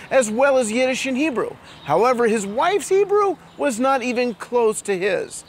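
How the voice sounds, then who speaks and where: A man's voice: high voice, slight background noise. A man hosting, presenting in an earnest, scripted storytelling way outdoors in a town street. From immigrant to Israel. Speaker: host.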